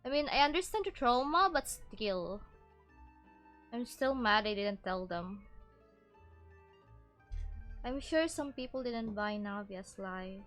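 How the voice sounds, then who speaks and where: A woman's voice: a little high, animated, takes it slowly, long pauses, fairly bright tone. A woman streamer giving casual, informal playthrough commentary, musing aloud and trailing off as she goes. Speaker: game streamer. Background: game music.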